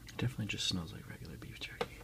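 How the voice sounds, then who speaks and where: A man speaking as an ASMR host, soft-spoken and unhurried, in a casual style. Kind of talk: whispered ASMR unboxing, reading aloud and chatting.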